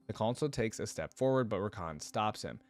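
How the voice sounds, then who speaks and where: A man's voice: on the low side, animated, fairly quick, darkish tone. A man reading fantasy lore aloud and commenting on it in a casual, informal way, as a reaction video creator. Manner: casual, informal asides between read passages.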